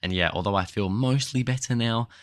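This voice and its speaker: A man with a voice croaky from a recent cold, giving a casual, rambling spoken review with plenty of fillers and restarts. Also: medium pitch, a little fast, no echo, clean studio sound.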